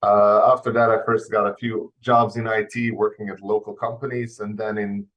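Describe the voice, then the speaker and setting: A man's voice: lowish voice, little pitch movement, moderate pace, a bit bright, muffled recording. Croatian man with hesitant, filler-heavy speech. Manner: hesitant, many fillers and restarts. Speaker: panel debater. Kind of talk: panel debate.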